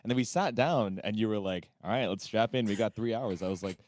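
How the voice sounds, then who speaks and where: A man's voice: fairly low pitch, expressive, a little fast, darkish tone, no echo, studio quality. A man bantering casually as an esports commentator, slangy and laid-back, acting out quotes as he tells anecdotes.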